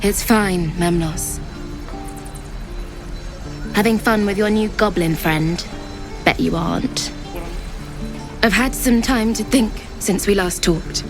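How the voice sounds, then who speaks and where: A woman's voice: mid-range voice, slow pace, pauses often. An actress playing a dry, sardonic woman in a fantasy video game. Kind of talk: video game character acting. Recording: music playing behind.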